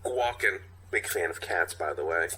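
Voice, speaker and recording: A man's voice: low voice, lively intonation, normal speed, bright, forceful tone, a little hiss or hum. A man co-hosting a podcast in casual, bantering chat. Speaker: podcast co-host.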